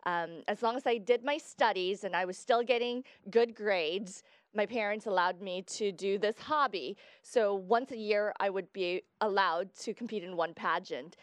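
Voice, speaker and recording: Young woman guest from Guatemala, mid-pitched, chatty, dry close sound. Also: normal speed, no echo. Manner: chatty, explains at length with fillers. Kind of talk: casual interview chat.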